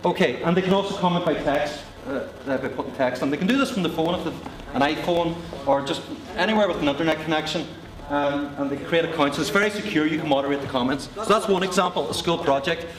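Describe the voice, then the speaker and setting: A Northern Irish teacher talks to a hall of colleagues in a chatty, self-deprecating way. His voice sits a touch high. He is caught from a distance in a roomy, echoing hall with an audience murmuring around him. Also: fast, few pauses. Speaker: teacher presenting at a teacher meetup. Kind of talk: short live presentation to an audience. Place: large lecture hall with an audience. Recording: echoey hall from a distance, crowd noise.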